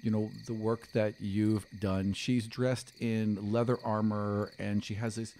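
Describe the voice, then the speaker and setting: A man's voice: fairly low pitch, flat delivery, unhurried, dark tone, a little hiss or hum. A man in his thirties improvising narration as game master, close on a podcast microphone in a small room. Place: small studio room, indoors. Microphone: close podcast microphone on a stand.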